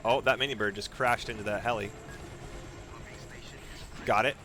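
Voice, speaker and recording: A man's voice: on the low side, fairly even intonation, moderate pace, sharp tone, soft background music. A man giving live speedrun commentary as he plays, narrating his own moves, repeating phrases and using mild exclamations instead of swear words. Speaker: video game streamer and speedrunner.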